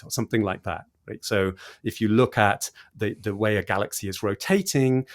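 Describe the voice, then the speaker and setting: A man explaining science in conversation as an interview guest, with an enthusiastic manner and repetition for emphasis. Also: mid-range voice. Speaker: podcast guest, cosmologist explaining research.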